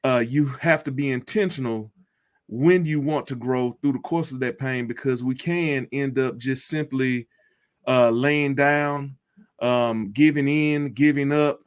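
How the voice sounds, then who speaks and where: A man with a mid-pitched voice gives personal testimony as an interview guest. His delivery is earnest and deliberate, with a reflective way of laying out his thoughts. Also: smooth. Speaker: guest telling his recovery story.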